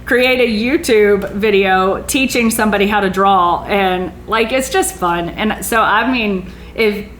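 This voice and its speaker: A woman's voice: mid-range voice, measured pace, hardly any pauses, a bit bright. A chatty, enthusiastic woman hosting a casual interview on a theater stage. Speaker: podcast host. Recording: roomy echo, faint background noise.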